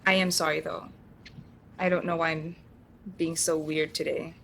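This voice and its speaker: A woman's voice: sits low, level tone, moderate pace, gravelly, fairly bright tone, slight background noise. A woman acting in an audio drama as an uneasy, earnest, skeptical support group member who speaks hesitantly. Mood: uneasy, apologetic. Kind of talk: conversational audio drama acting, live recording.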